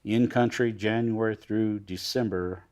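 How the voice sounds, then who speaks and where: A man in his sixties hosting a TV studio interview, asking leading questions in a halting way with many pauses. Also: fairly low pitch, fairly even intonation, darkish tone. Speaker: host.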